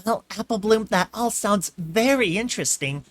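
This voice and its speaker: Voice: mid-range voice, expressive, fairly bright tone, voice pushed up, lightly acted. A reader voicing a sensible young female unicorn who speaks politely but firmly. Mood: stern. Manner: polite, then stern and hurried.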